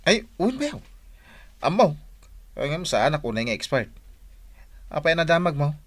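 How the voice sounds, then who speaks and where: A man's voice: fairly high pitch, very animated, measured pace, dry recording. A man acting as an overworked, good-natured husband in an Ilocano radio drama, hesitant and plaintive, with a northern Philippine Ilocano accent. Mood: flustered.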